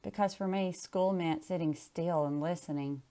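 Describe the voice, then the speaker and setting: A woman's voice: lowish voice, normal speed, mellow. A woman chatting casually and reading a picture book aloud. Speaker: storybook reader.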